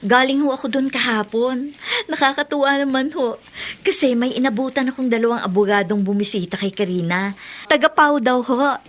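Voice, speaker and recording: A woman's voice: high-pitched, a little fast, close to an everyday voice. A woman playing a cheerful, polite younger woman in a Tagalog radio drama, bubbly in manner. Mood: pleased.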